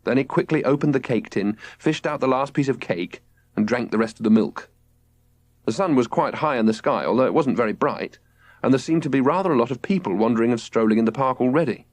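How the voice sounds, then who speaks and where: A man narrating a children's audiobook in an expressive, scripted storytelling style. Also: mid-range voice, fairly quick, no echo.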